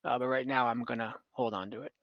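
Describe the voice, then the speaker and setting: A man's voice: mid-range voice, fairly even intonation, fast talker, highs cut off, pitched below the performer's own voice, lightly acted. A man improvising a casual, matter-of-fact prison official in a tabletop roleplay, with a laid-back, hedging manner. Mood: guarded, casual.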